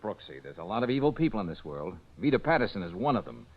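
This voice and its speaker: Fast-talking American radio detective. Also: male voice, fairly low pitch, very animated. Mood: wry, confident. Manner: casual, teasing, slangy banter. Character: breezy, cocky male private detective, quick-witted. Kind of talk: 1940s radio drama acting. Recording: dull 1940s radio recording.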